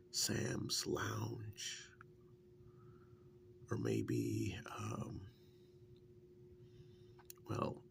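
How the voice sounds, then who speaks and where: Very slow, creaky, soft-spoken acted ASMR man. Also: on the low side, full character voice. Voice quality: soft, breathy, gravelly, half-whispered. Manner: halting, unhurried, musing with many pauses. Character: easygoing, wryly fond long-term partner and former kidnap victim. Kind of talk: ASMR role-play, soft speaking.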